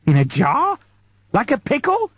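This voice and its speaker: Man acting a bewildered patient, swooping in pitch. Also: wildly swooping pitch, a little slow, no echo, heavily acted. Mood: incredulous, alarmed. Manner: groping, questioning, incredulous. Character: bewildered, alarmed man waking from sedation.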